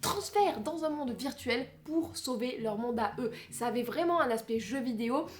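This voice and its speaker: Une femme à l'français qui parle face caméra comme une vlogueuse, de façon exclamative, joueuse et théâtrale. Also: female voice, fairly high pitch, animated, moderate pace. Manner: exclamatory, playful, theatrical emphasis. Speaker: vlogger presenting a countdown. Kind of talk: animated casual chat to camera.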